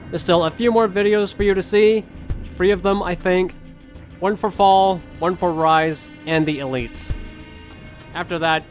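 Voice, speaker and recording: A young man giving casual commentary as a gaming video creator. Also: high-pitched.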